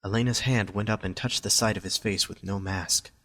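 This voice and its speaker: Guy with a lowish voice narrating a story for a homemade audio drama. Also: steady tone, brisk, a bit bright.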